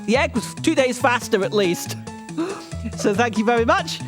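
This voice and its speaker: A woman hosts a live gaming marathon and reads donation messages. Her voice is fairly high and very animated, over clear music. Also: normal speed. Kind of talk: live announcing.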